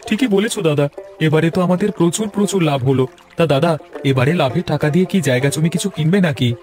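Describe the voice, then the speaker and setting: A man's voice: fairly high pitch, fast, darkish tone, soft background music, close to an everyday voice. A man voicing a loyal, agreeable younger-brother trader in a cartoon, eager and supportive. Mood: eager, pleased. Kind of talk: Bengali fairy-tale cartoon dialogue.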